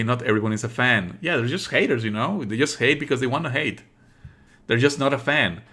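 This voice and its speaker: 비꼬는 말투의 남자 게임 스트리머. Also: male voice, mid-range voice, fairly bright tone. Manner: sarcastic, exasperated asides. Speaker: streamer playing a visual novel. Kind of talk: live let's play, reading text aloud with commentary.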